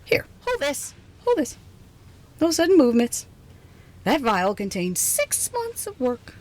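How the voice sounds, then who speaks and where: A woman playing a haughty, flustered reclusive scientist in a close ASMR roleplay, halting and repeating herself as she gives orders. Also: high-pitched, wildly swooping pitch, exaggerated voice. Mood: intrigued, proud. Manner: halting, repetitive, sighing, imperious.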